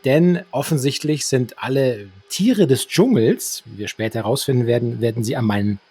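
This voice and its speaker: Male co-host for an audio drama review show. Raspy edge, mid pitch, wordy and mock-formal delivery, very dry recording. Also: normal speed, darkish tone, no room sound. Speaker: podcast co-host. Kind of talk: semi-scripted radio drama discussion with free commentary.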